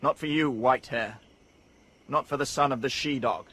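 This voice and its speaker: A man's voice: medium pitch, normal speed, bright, forceful tone. An actor playing a lonely, earnest young man raised as an outcast, in a radio drama. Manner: wistful, earnest, wonder-struck. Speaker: the Savage. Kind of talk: BBC radio drama acting.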